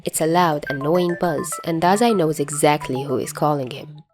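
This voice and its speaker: A woman reading a story aloud as an amateur audiobook narrator, in an expressive scripted style. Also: deep voice, normal speed, over music.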